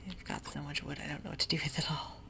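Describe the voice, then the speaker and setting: A host whispering a slow, meandering tour of a game world, close to the microphone, with frequent pauses and fillers. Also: mid-range voice, little pitch movement, creaky. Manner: soft mostly unvoiced whisper; hesitant, meandering, with many fillers. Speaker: gameplay ASMR host. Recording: audible hiss with keyboard clicks.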